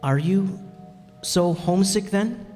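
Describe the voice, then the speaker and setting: A man playing an earnest, measured stranded pilot in a radio play. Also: high voice, darkish tone. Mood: concerned, weary. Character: stranded pilot, concerned, gentle adult narrator. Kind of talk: radio play acting and narration. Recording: echoey hall, music behind.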